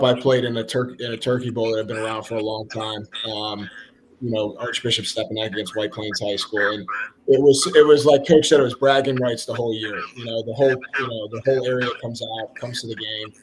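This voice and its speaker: A man with an American accent, a high school football coach giving reflective, halting answers in a sports interview. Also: rough recording. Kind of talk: sports talk show interview.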